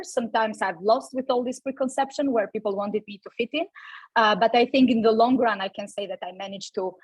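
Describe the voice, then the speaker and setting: Young woman telling her story at a work event, husky-ish, lots of ums and restarts, dry sound. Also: medium pitch, no echo, poor audio. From Albania. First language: Albanian. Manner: many fillers and repeated restarts. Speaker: invited speaker. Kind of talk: personal talk at a company event.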